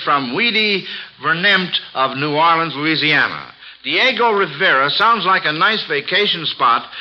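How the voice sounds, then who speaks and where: A man hosting an old-time radio quiz for children, with a folksy, avuncular, exclaiming way of talking. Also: high voice. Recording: dull vintage radio broadcast.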